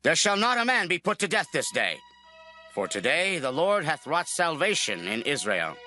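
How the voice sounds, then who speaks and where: Bright, forceful proclaiming king. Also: fairly high pitch, sing-song, moderate pace, faint music behind. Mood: firm, triumphant. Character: commanding, triumphant young king of Israel.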